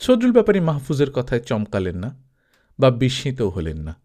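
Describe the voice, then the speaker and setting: গল্পপাঠক পুরুষ, প্রাণবন্ত ওঠানামার কণ্ঠ. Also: male voice, medium pitch, wildly swooping pitch, fairly quick, dark tone. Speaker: audiobook narrator. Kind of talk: scripted story narration.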